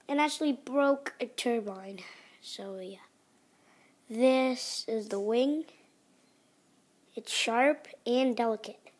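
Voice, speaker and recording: A woman who is sick and sounds raspy, hosting a casual home review vlog indoors, talking hesitantly with many restarts into a phone held close. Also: a little high, slow pace, long pauses. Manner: hesitant, restarts often, quiet and mumbly at times. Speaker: channel host. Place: indoor carpeted room at home.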